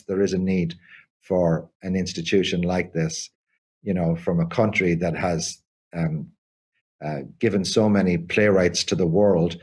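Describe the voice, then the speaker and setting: An older man, a theatre company co-founder, talking in an interview in a halting way with many repeated restarts. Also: deep voice, darkish tone, breathy edge. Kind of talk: conversational interview.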